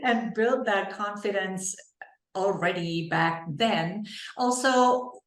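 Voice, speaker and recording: A woman from Germany with a German accent, a guest on a podcast talking about her life in a relaxed, reflective way and laughing lightly now and then. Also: mid-range voice, takes it slowly, reverberant. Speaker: podcast guest, leadership coach. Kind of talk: casual interview conversation.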